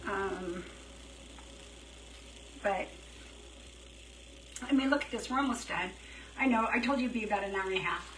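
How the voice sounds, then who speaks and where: A woman chatting casually on a live craft stream, thinking out loud as she works, her throat dry and her voice feeling worn. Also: medium pitch, moderate pace, long pauses. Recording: roomy, slightly distant, faint hiss.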